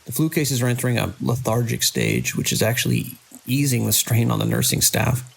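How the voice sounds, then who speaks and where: An actor playing a controlling male hospital director in an audio drama, brisk and dismissively reassuring as a crisis grows. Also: medium pitch, lively intonation, vocal fry, husky, full character voice, low-quality recording. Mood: composed, official.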